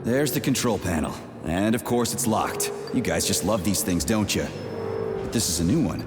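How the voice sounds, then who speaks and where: An actor playing a cocky, sarcastic, foul-mouthed special-forces soldier in a video game, blunt and sardonic. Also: male voice, on the low side, normal speed, natural voice. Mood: sarcastic, annoyed. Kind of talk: scripted video game voice acting. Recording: reverberant space under loud music.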